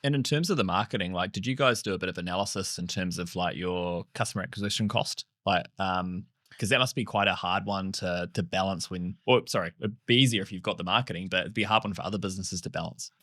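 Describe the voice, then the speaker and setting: Deep bright kiwi podcast host, rambly questions, dry close sound. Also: male voice, fast, dry recording. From New Zealand. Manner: loose questions with fillers and self-corrections. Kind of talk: casual interview chat.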